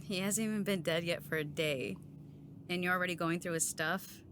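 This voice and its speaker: A woman playing a grieving, sharp-tongued young female agent in an audio drama, blunt and defiant in manner. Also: fairly low pitch, moderate pace, natural voice. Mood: weary, reproachful. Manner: blunt, sarcastic, swearing. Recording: noisy ambience behind the voice.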